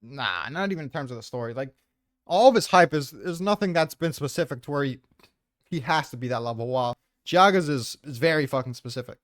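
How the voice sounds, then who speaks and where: A man's voice: mid-range voice, lively intonation, brisk, no room sound, clean studio sound. A man in a casual debate about manga, insistently reasoning his case point by point.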